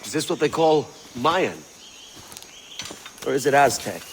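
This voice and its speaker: An actor playing a roguish, practical pirate captain in a game, casual and wryly sceptical. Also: male voice, medium pitch, animated, unhurried, a little hiss or hum. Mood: curious, wry. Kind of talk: game cutscene drama acting.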